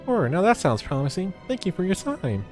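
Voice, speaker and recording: An eager, naive game sidekick, acted out by a man in a raised, chirpy voice. The delivery is excitable and earnest, with faint music underneath. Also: high voice, a little fast, pitched above the performer's own voice.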